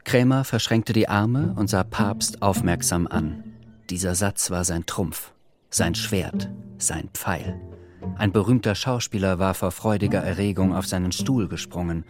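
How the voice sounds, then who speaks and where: A man's voice: deep voice, unhurried, over music. A man narrating a literary radio drama from a script.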